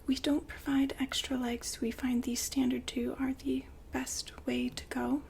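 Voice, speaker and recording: A woman speaks in a breathy, unvoiced whisper with a flat, even delivery. She takes her time, as a polite consultant attending to a customer in an ASMR roleplay. There is faint noise behind her. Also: high-pitched. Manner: soft-spoken, polite, unhurried, gently hesitant.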